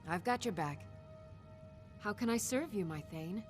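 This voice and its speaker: An actress playing a loyal, stoic warrior bodyguard in a fantasy video game, earnest and dutiful. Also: female voice, mid-range voice, lively intonation, a little slow, natural voice. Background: music.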